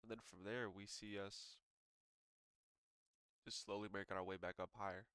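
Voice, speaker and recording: Low, stuffy-voiced man talking charts. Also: little pitch movement, normal speed, fairly bright tone, clear, resonant tone, no room sound. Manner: loose and conversational, frequent "um" and "so". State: stuffy and congested. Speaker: day trader reviewing charts. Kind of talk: casual screen-share market walkthrough.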